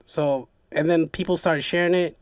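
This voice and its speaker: Nasal high-voiced guy, chill slangy podcast talk, dry close sound. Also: fairly even intonation, moderate pace, clean tone, no room sound. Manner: laid-back slangy talk, laughs often. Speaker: podcast guest. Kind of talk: casual chat.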